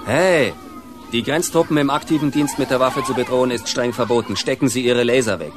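An actor playing a stern border guard lieutenant in a radio drama, commanding and official. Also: male voice, medium pitch, fairly quick, music in the background, close to an everyday voice. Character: stern male border troop lieutenant giving orders. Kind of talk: German radio drama acting.

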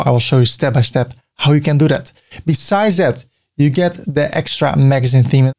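A man's voice: medium pitch, a little mellow. A middle-aged man from the Netherlands, a native Dutch speaker with a Dutch accent, hosting a website-building tutorial in a friendly, chatty way, cracking small jokes as he clicks through his screen. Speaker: tutorial host. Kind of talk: screen-recorded software tutorial.

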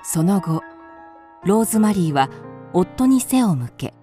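A woman's voice: mid-range voice, sing-song, a little slow, mellow, soft background music. A woman reading scripted narration for a radio drama in a composed storytelling manner.

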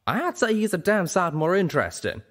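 A cocky pegasus cowboy played by a male reader drawls in a storybook, folksy and wry, pitched up and animated. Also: normal speed. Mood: wry, hushed.

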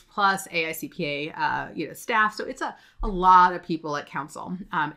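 A woman's voice: lowish voice, pushed and bright. A middle-aged American woman giving an informal, chatty update to camera as the presenter of a professional blog. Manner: chatty, conversational. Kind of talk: informal talking-head update. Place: indoors, plain backdrop.

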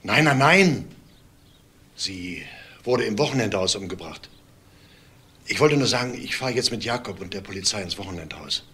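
A man's voice: on the low side, lively intonation, moderate pace, pauses often, bright, forceful tone, echoey room. An actor playing a reserved, hesitant married man in a crime drama, subdued and brief in his replies. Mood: subdued, shaken. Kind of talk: screen crime drama dialogue. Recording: distant mic, noisy background.

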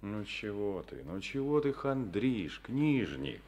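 Soviet radio drama: a coaxing, reassuring party secretary, lively pitch. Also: male voice, slow, exaggerated voice. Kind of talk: Soviet radio play acting.

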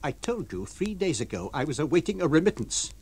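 A shrill, indignant country landlady, put on by a male storyteller, scolding in thick rustic dialect. Her voice leaps high and swings widely as she rails. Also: sing-song, a little fast, a little mellow, slightly rough, heavily acted.

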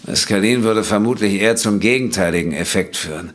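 An actor playing a troubled, earnest doctor in a German audio drama, speaking formally and carefully, a little haltingly. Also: male voice, fairly low pitch, natural voice. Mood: grave, explaining. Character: troubled male doctor, earnest, indignant when accused. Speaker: doctor in a detective story.

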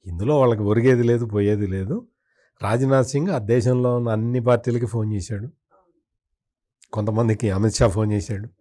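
A man's voice: on the low side, a little fast, warm tone. A man speaking as a political commentator in an interview, in a critical, opinionated way with emphatic repetition.

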